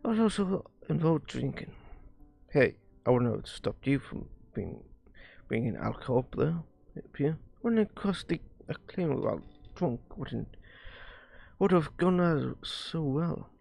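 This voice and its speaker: Halting, gravelly man reading slowly aloud. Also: on the high side, sing-song, a little mellow, faint music behind. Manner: halting, stumbles over words and re-reads lines. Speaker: streamer reading a visual novel aloud. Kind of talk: live read-aloud of game dialogue.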